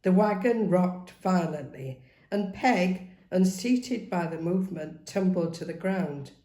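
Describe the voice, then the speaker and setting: Storyteller: middle-aged woman, measured and dramatic, very lively intonation, roomy home recording. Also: fairly low pitch. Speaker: author reading their own book aloud.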